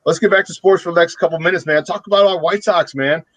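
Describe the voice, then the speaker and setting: A man's voice: sits high, fairly even intonation, quick pace, fairly bright tone. A man co-hosting a casual sports talk show, laid-back, saying man a lot and laughing at his own jokes. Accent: Chicago. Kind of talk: casual radio show banter.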